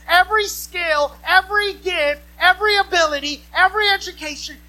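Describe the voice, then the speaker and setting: High, bright-voiced woman preacher. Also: rough recording. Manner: emphatic, repetitive phrasing with rhetorical questions to listeners. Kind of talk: sermon to a congregation.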